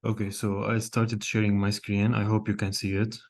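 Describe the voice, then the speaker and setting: A man's voice: lowish voice, flat delivery, moderate pace, darkish tone. A young man from Lebanon, a cardiologist, giving a live online lecture over a video call, hesitant with many fillers and restarts and questions to his audience. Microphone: computer mic on a video call.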